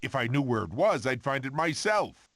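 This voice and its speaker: An actor playing a cocky, playful prehistoric animal in an animated video game. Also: male voice, mid-range voice, normal speed, slight background noise. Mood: coaxing.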